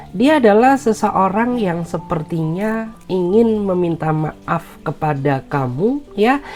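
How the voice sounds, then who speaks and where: A woman's voice: low-pitched, darkish tone. A woman doing a tarot reading with an Indonesian accent, her voice nasal from a cold, speaking soothingly with many filler words. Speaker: tarot reader.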